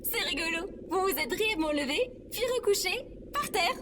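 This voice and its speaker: A woman acting as a female AI companion in an audio comedy, high-voiced and swooping in melody. She is irritatingly polite, precise and bossy, with a chirpy sarcasm. Clear ambient noise runs behind her. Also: animated, moderate pace. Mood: cheerful sarcasm. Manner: formal, precise, playfully bossy. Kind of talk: acted audio comedy drama.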